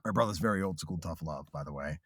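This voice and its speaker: A man's voice: very deep voice, fast, a bit bright, husky, dry recording, clean studio sound. A man in his late thirties, a voice actor, telling his story in a casual interview, earnest and reflective with frequent restarts. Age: late 30s. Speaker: voice actor guest.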